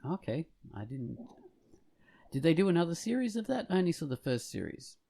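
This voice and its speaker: A man's voice: on the high side, sing-song, brisk, vocal fry, dark tone. A man talking casually as a podcast co-host, hesitant and rambling as he thinks aloud. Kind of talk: casual discussion of films and comics.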